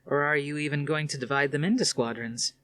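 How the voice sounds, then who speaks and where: A man reading aloud, voicing a sharp-tongued young woman officer who is the commander's daughter and keeps prodding her father with questions. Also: deep voice, steady tone, a little fast, echoey room, pitched above the performer's own voice. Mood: needling. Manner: prodding, challenging.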